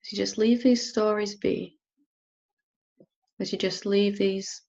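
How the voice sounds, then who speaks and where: Female meditation teacher: soft instruction, level intonation, creaky texture, lingering pauses, somewhat muffled sound. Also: medium pitch, steady tone. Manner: unhurried, gentle, with long pauses. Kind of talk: guided meditation, softly instructing.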